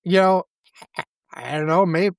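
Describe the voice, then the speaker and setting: A man's voice: high-pitched, gravelly, clear, resonant tone, no room sound, studio quality. Rambling man with a scratchy throat. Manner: rambling, halting, self-deprecating. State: scratchy throat, stuffy head. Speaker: podcast co-host. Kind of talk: casual chat.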